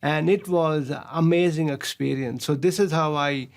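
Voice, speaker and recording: A man, a professor telling stories of his past in an interview, pausing often on fillers and chuckling as he goes. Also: on the high side. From Pakistan. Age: 60s. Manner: chuckles often, halting with many filler sounds. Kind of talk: relaxed storytelling interview.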